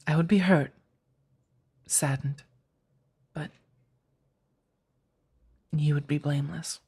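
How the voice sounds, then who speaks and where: A woman playing a remorseful, tender vampire in an ASMR roleplay, speaking softly and haltingly, often slipping into a whisper. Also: deep voice, steady tone, husky, exaggerated voice. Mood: hesitant, apologetic. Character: remorseful, tender female vampire rescuing her beloved.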